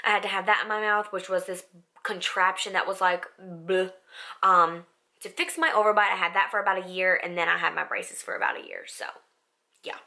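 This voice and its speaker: A young woman with a raspy voice, chatting casually to camera in a vlog, full of "like" and "um". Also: lowish voice, fairly even intonation, fairly quick, sharp tone. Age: young adult. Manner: chatty, filler-heavy with frequent "like" and "um". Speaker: vlogger. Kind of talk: casual facts-about-me vlog.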